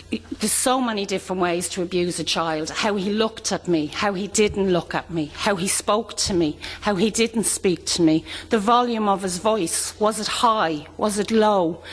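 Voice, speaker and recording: A woman giving impassioned public testimony as a panel speaker. She speaks emphatically, with repeated phrases and rhetorical questions. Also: medium pitch. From Ireland. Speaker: panel speaker, abuse survivor. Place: large conference hall. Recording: long hall reverb.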